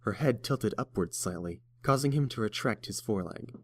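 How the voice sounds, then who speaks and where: A man's voice: on the low side, expressive, moderate pace, dry recording. A man reading scripted third-person narration for a fantasy story.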